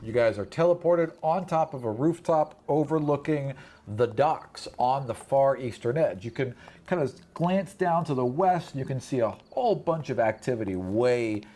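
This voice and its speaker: A man's voice: mid-range voice, animated, moderate pace, dark tone. Casual man running a tabletop fantasy game. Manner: conversational, casual, peppered with 'you know' and 'right'. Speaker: game master.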